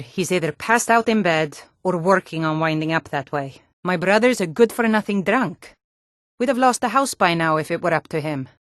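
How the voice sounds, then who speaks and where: Woman acting blunt, low-voiced Nord townswoman, dry studio sound. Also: no room sound, natural voice. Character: tough, blunt Nord townswoman of a cold fantasy land. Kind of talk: video game character dialogue.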